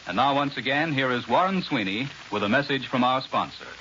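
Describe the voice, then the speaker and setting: A man's voice: mid-range voice, expressive, fairly quick, bright, forceful tone. Male American news anchor for 1940s radio, scripted delivery, mid-range voice, dull hissy broadcast sound. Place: New York radio studio. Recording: hissy, band-limited 1940s radio recording.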